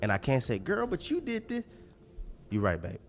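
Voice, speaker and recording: A man's voice: medium pitch, wildly swooping pitch, moderate pace. A man speaking as a pastor in a candid, plainspoken talk about life, repeating phrases and addressing listeners directly. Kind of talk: candid relationship talk with faith references.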